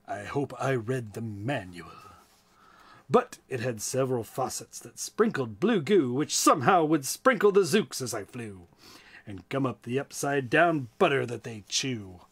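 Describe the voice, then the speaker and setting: A man with an American accent reading to children in an expressive, playful way, with casual asides. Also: medium pitch, husky. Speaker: storytime reader.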